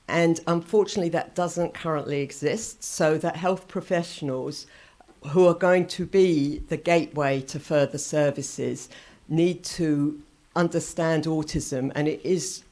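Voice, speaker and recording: Woman holding back a loud voice. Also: deep voice. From England. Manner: loud voice, held down on purpose; thinking aloud, self-correcting, with short laughs. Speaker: conference speaker. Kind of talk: conference talk. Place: conference room.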